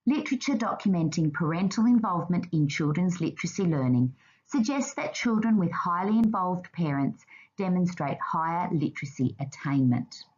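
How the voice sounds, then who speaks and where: A woman narrating a scripted slide presentation in a formal, measured reading manner. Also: lowish voice, expressive, a little mellow, slightly rough, dull audio.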